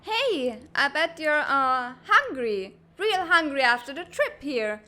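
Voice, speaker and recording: A woman voicing a brash, hot-tempered teenage girl in a comic dub, blustery, bossy and quick to get defensive. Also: high voice, very animated, pushed and bright, full character voice. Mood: flustered. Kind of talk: animated comic-dub voice acting.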